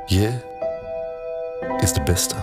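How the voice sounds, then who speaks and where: A man's voice: sits low, drawn-out words. An actor playing a brooding, laconic Flemish man in his thirties, narrating an intimate audio drama in terse, understated phrases. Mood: wistful, restrained. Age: 30s. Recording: music bed under the voice. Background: music.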